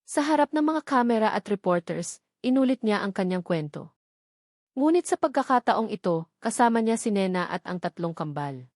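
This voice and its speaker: A woman's voice: mid-range voice, sing-song, moderate pace, darkish tone, no room sound, clean studio sound. A woman reading a scripted dramatic story as its narrator.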